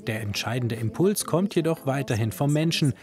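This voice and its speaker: A man's voice: medium pitch, animated, normal speed, a little mellow. A man narrating a scripted television news magazine report.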